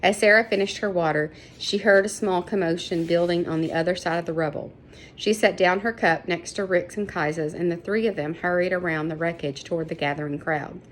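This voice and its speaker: Lady reading a kids book out loud, kinda low voice, trips on names, bit of noise behind. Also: fairly even intonation, a little fast, rough recording. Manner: stumbles over names and corrects herself. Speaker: reader of a children's book. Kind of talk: read-aloud story narration.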